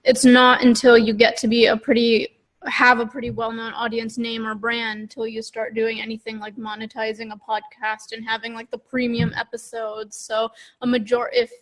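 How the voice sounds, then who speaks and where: A young woman with a somewhat high-pitched voice speaks as a podcast co-host. She talks in a casual, conversational way, as if chatting through ideas with a partner. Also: completely flat delivery, moderate pace, rough recording.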